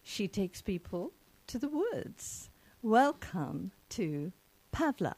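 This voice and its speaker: A woman's voice: mid-range voice, big pitch swings, slow pace, a little mellow, husky, no room sound. A woman from England hosting an interview podcast, asking long rambling questions with many fillers. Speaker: podcast host. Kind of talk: casual interview.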